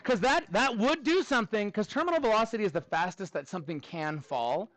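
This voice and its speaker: A man with a high-pitched voice works as a teacher in front of his class. He talks informally and with animation, encouraging the students as he goes, and the sound is close and dry. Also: animated, fairly quick, a bit bright, no room sound. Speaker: middle school math teacher.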